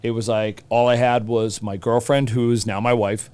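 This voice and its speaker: Clear-voiced man with a Boston accent. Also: medium pitch, flat delivery, normal speed, a little mellow. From Boston, USA. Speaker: teacher and hockey coach, podcast guest. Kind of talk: casual interview chat.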